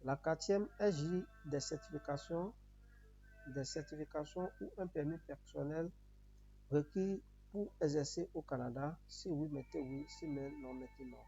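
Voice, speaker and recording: Beninese man with a creaky, mellow voice. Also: on the high side, measured pace, soft background music. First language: Yoruba. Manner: repetitive, instructional phrasing. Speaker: tutorial presenter. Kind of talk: step-by-step spoken tutorial. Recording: muffled audio with noticeable background hum.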